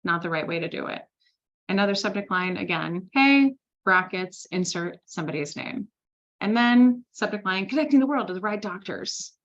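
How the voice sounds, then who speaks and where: Brief: woman sales trainer, lively conversational delivery, mid pitch, slightly muffled audio. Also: moderate pace. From Switzerland. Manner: lively, conversational, with frequent "right?" tags. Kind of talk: presenting a masterclass.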